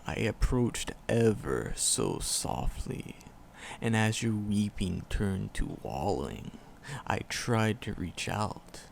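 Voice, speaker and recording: A man playing an ancient, tender and sorrowful forest demon in an ASMR roleplay, with a gravelly voice and solemn, archaic storytelling. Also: on the low side, very animated, slow pace, a little hiss or hum, exaggerated voice. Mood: sorrowful, regretful. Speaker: voice actor in audio roleplay.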